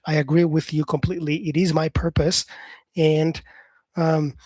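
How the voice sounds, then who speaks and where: A man's voice: a little high, flat delivery, moderate pace, darkish tone, no room sound. A man whose first language is Portuguese, an English teacher chatting on a live stream and answering viewer comments.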